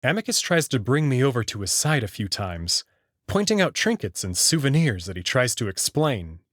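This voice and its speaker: A man's voice: mid-range voice, a bit bright, dry recording, clean studio sound. A man reading first-person story narration from a script. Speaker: narrator reading a visual novel aloud. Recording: music playing behind the voice.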